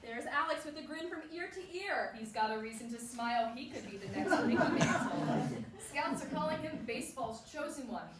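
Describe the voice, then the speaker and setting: A woman playing a polished, brisk sports broadcaster announcing news in a staged play reading. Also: high voice, animated, crowd noise. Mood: brisk, upbeat. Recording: roomy, distant, faint noise.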